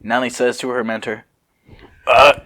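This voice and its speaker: A man's voice: medium pitch, lively intonation, unhurried, a bit bright. A man co-hosting an amateur dramatic reading, narrating casually and often breaking off into giggles and joking asides. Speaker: co-host reading fan fiction aloud.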